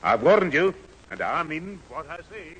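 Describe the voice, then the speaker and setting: An older man playing a gruff, proud Scottish grandfather who scolds, in a radio sitcom. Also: a little high, very animated, unhurried, a bit bright. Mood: stern, offended. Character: gruff, proud, easily offended old grandfather. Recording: reverberant old radio studio recording.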